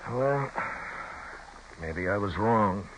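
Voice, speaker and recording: A man's voice: on the low side, animated, very slow. A man playing a stoic, watchful frontier marshal in a radio Western, American, laconic and understated. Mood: troubled, brooding. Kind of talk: 1950s radio Western acting. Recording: noisy old radio broadcast.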